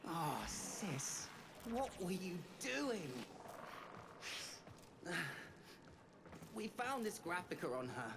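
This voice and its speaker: A man playing a scrappy, earnest young street kid in a game cutscene, with slangy, heartfelt speech and rough grammar. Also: high voice, very animated, drawn-out words, long pauses, noisy background, exaggerated voice. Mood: worried, sad.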